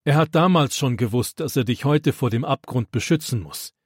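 Kindly old grandpa in a children's storybook, gentle and instructive, done by the male narrator. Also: medium pitch, animated, normal speed, breathy edge, dry recording, clean studio sound. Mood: tender. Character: kind, pious old grandfather on a hike.

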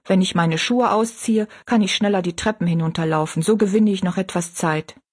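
A woman's voice: mid-range voice, a little fast, a little mellow, breathy edge, close to an everyday voice. A woman voicing a simple, wondering young kitchen maid in a story, plain and naive. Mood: hurried, regretful.

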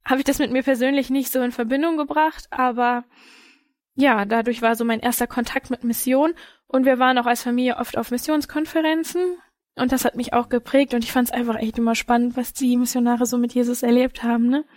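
A woman's voice: fairly high pitch, fairly even intonation, brisk, no room sound, studio quality. A young adult woman sharing personal memories casually as an interview guest.

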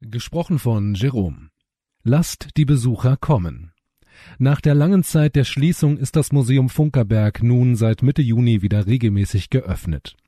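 Male news presenter, scripted read, very mellow dark tone with a husky grain. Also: mid-range voice, lively intonation, moderate pace, no echo, studio quality.

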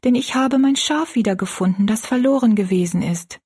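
A woman voicing an earnest, pious young girl in a storybook, gently and politely explaining things. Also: a little high, a little fast, slightly rough, voice pushed up.

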